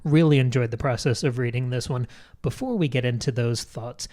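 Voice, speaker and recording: A man's voice: mid-range voice, a little fast, warm tone. A man co-hosting a podcast in casual chat, joking and informal. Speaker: podcast co-host.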